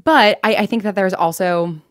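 A woman's voice: medium pitch, moderate pace, no echo, clean studio sound. A woman talking casually and candidly as a podcast creator being interviewed, often quoting imagined remarks.

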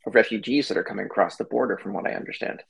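Man, husky voice, chatty, quick talker, laughs easily, remote interview. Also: lowish voice, a bit bright, poor audio. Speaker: podcast guest, company founder. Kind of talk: casual interview talk.

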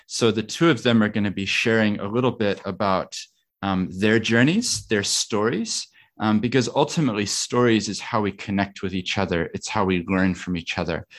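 A middle-aged man hosts a podcast and panel event in a fairly low voice. He speaks as the one introducing guests and setting out the session. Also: moderate pace, a bit bright. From New Zealand. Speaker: podcast host and panel chair.

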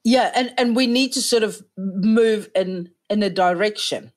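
A woman hosting a casual comedy chat, animated and swearing freely as she acts out quoted voices. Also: mid-range voice, unhurried.

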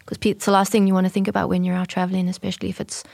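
A woman with a South African accent co-hosts a casual podcast, chatting in a friendly way with frequent "um"s. Her voice is mid-pitched, and the recording is dry and close. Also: flat delivery, rapid-fire, gravelly, darkish tone, no room sound. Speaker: podcast co-host, marketing manager.

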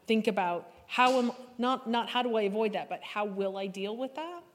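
A woman's voice: mid-range voice, moderate pace, slight background noise. A woman speaking as a panel guest, giving advice in an emphatic, articulate way. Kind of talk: advisory panel discussion. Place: large indoor hall at a live panel event. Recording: echoey hall, live event.

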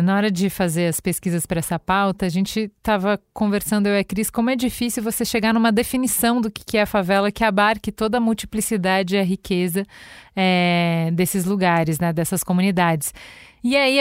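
A Brazilian woman co-hosting a podcast asks her questions in a measured way. Her voice is smooth and resonant, captured dry and close in the studio. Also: mid-range voice, dry recording. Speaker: podcast co-host. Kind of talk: podcast conversation.

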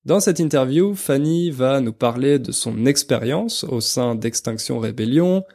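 A man's voice: medium pitch, lively intonation, a little slow, creaky, darkish tone. A young adult man with an accent from France presents a podcast for language learners, explaining things didactically. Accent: French, from France. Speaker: podcast host and French teacher.

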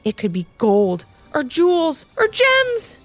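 A woman voicing a curious, excitable and slightly skeptical young girl in a children's story, often questioning and exclaiming. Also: very high voice, measured pace, faint music behind, pitched above the performer's own voice, heavily acted. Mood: excited.

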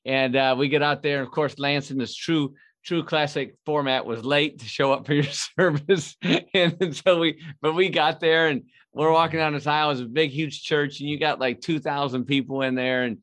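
A man's voice: a little high, steady tone, normal speed, fairly bright tone. A man hosting a podcast from a studio, talking in a folksy, hyped-up way full of "man" and fillers. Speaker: podcast host. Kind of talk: casual, enthusiastic interview talk.

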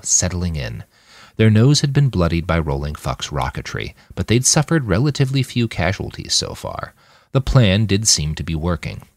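A man with a low voice narrates a work of fiction in the manner of an audiobook. His delivery is dramatic and animated, with wide swings in intonation and measured, clipped phrases. The sound is close and dry, free of room echo. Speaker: author reading his own novel on a podcast.

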